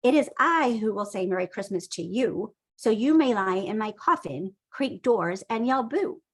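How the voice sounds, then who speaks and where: A woman's voice: medium pitch, expressive, moderate pace. Lady doing a storybook skeleton guy, scheming and eager, real theatrical, talks grand. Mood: grandly persuasive. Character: eager, scheming male skeleton longing to be Santa.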